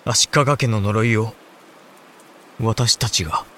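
A man's voice: on the low side, slow, bright, forceful tone, a little hiss or hum. A man playing an earnest young samurai heir, formal, respectful and intense with his father, in a Japanese historical audio drama. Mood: solemn.

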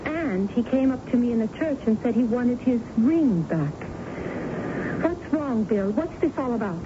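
A woman's voice: fairly high pitch, lively intonation, a little slow. An actress playing an earnest, anxious young woman in a 1970s radio drama, speaking with open feeling. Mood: suspicious. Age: young adult. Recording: noisy, dull 1970s radio broadcast recording.

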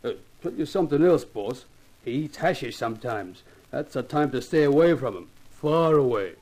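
A man's voice: medium pitch, animated, moderate pace, a little mellow, natural voice, low-quality recording. A man playing an easygoing, deferential ex-seaman turned guard, talking in a folksy, colloquial way in a 1950s radio drama. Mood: wary warning.

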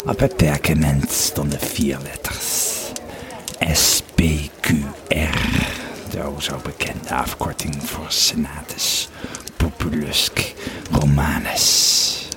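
A grieving, fearful Roman legionary played by a man recounts his army days in an audio drama. His voice is extremely low and he speaks slowly, with reflective pauses. A crowd murmurs clearly behind him. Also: little pitch movement. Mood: somber, reflective. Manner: halting, reflective storytelling with searching pauses. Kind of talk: audio drama first-person narration.

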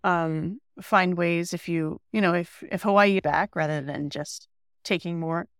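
A woman's voice: lowish voice, fairly even intonation, measured pace, clean tone, no room sound, clean studio sound. A woman co-hosting a podcast, asking interview questions carefully and rephrasing as she goes. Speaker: podcast co-host.

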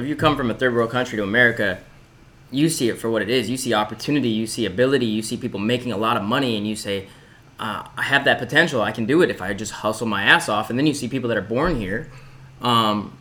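A man from California co-hosting a casual podcast, quick to agree and add his own point. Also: medium pitch, pushed and bright. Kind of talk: casual chat.

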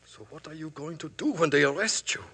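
A man's voice: on the high side, brisk, pushed and bright, slightly rough. An actor playing a frightened yet menacing man who taunts and sneers in a 1950s radio drama. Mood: taunting.

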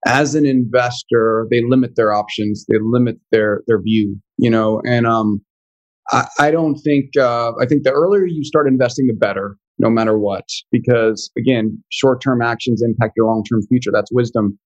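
A man's voice: mid-range voice, normal speed, dull audio. Earnest man musing on investing. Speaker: guest, financial coach. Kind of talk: casual interview chat.

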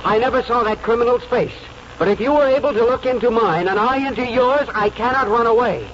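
An actor playing an idealistic small-town American lawyer, earnest and oratorical, in 1940s radio drama style. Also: male voice, very high-pitched, brisk, fairly bright tone, a little hiss or hum. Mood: impassioned pleading. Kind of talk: 1940s radio acting and first-person narration. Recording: muffled old radio broadcast, music behind.

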